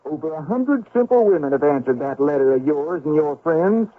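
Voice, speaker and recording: A bitter, husky young frontier son. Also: male voice, on the high side, animated, moderate pace. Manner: accusing, sardonic, dramatic. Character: bitter, sarcastic grown son of a gold miner. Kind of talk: 1970s radio drama acting. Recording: tinny band-limited old radio broadcast.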